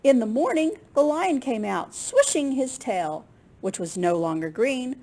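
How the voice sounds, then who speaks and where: Woman storyteller for children's read-aloud: higher-pitched, very lively intonation, unhurried delivery. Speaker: storyteller reading children's books.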